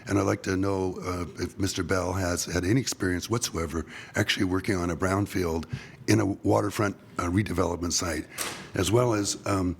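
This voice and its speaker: An older man running for office, giving a halting forum statement, his voice scratchy from a cold. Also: deep voice, steady tone, creaky, slightly rough. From Seattle. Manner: halting, many ums and uhs. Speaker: political candidate.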